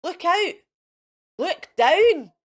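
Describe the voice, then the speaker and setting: A gentle little dinosaur outcast , played by a woman reading a storybook, high-pitched and earnest. The words come out plaintive and hooting, stretched slowly with big swoops of pitch. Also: very animated, exaggerated voice. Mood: urgent warning.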